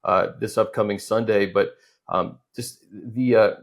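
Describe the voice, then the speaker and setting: A man hosting a sports talk show conversationally, setting up questions in a measured, slightly halting way. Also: lowish voice, fairly even intonation, vocal fry.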